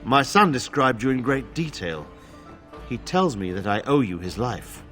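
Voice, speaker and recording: A middle-aged fantasy game lord, a father both grateful and stern. His formal, solemn speech moves widely in pitch, with faint music underneath. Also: animated, unhurried, fairly bright tone. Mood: grave, grateful. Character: grateful noble father, stern about vengeance. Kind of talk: dramatic fantasy game acting.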